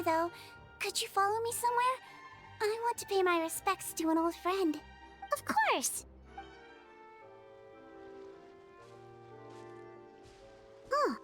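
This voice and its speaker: Very high childlike girl. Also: big pitch swings, moderate pace, fairly bright tone, soft background music, exaggerated voice. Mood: confiding. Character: small, childlike, cute fantasy girl companions, earnest and excitable. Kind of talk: anime game voice acting.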